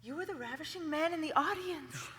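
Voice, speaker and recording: A woman's voice: high voice, a little fast, a bit bright, slight background noise. A woman in a musical theatre reading playing a grand, flirtatious, imperious French stage actress with a theatrical, coaxing manner. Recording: echoey theatre hall, piano accompaniment.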